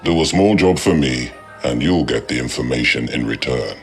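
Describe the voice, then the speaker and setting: Gravelly, extremely deep alien crime boss. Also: male voice, expressive, soft background music. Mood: cold, contemptuous. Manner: cold, pompous, deliberate threats. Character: menacing, haughty alien crime enforcer boss, male. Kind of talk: video game cutscene acting.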